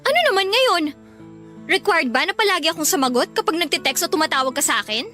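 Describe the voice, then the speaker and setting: A woman's voice: very high voice, lively intonation, fast, bright, forceful tone, faint music behind, full character voice. An actress playing an evasive, easily irritated young college woman in a Filipino radio drama, with a Filipino accent. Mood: irritated, snapping. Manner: exasperated, dismissive.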